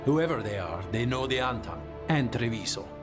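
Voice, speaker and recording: Male game spymaster, actor in role: curt, formal, medium pitch, light music bed. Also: lively intonation, normal speed, natural voice. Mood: firm, businesslike. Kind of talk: video game dialogue acting.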